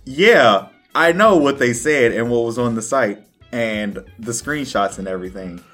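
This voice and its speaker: A man co-hosting a casual podcast about fandom. His pitch moves around a lot, giving him an animated delivery. There is faint music behind his talk. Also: unhurried. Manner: animated, breaks into laughter easily. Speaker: podcast co-host. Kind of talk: casual chat about anime and games.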